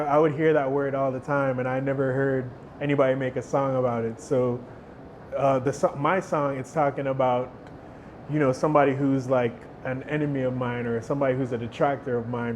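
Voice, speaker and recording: A young man, a musician, giving relaxed, thoughtful interview answers and often restarting his words. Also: medium pitch, slight background noise. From Jamaica. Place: outdoor stage.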